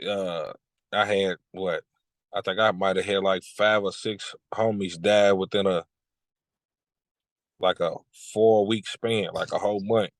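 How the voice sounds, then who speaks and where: A man in his thirties from Kentucky, a music artist talking casually in an interview, relaxed and repeating his phrases. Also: deep voice, a little slow, no echo. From Lexington, Kentucky. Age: 30s. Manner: laid-back, repeats "you know what I'm saying".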